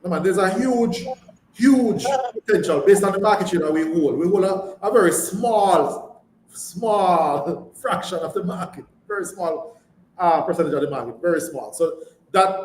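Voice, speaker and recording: A man's voice: a little high, a little mellow. A Jamaican man answering as a chief executive on a live earnings call, emphatically repeating words and phrases. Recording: echoey room, background noise.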